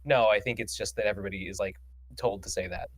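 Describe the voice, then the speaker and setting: A man's voice: fairly low pitch, steady tone, fast, no room sound. A man guesting on a casual podcast, sarcastic and profane with a mock-indignant edge.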